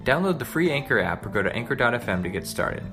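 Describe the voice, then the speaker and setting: A man's voice: mid-range voice, sing-song, fast, over loud music. A man hosting a podcast, reading scripted narration. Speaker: podcast host.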